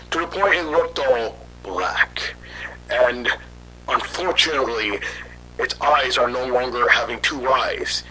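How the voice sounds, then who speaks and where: A man playing a guilt-ridden scientist in hiding, telling his story haltingly in an amateur horror audio drama. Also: mid-range voice, bright, forceful tone, slight background noise, poor audio. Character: guilt-ridden fugitive scientist father recounting his failed experiment.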